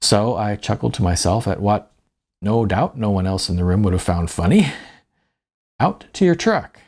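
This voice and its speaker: A man's voice: fairly low pitch, normal speed, a little mellow. A middle-aged man narrating an audiobook in the first person, with a wry storytelling manner. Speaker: author reading own audiobook.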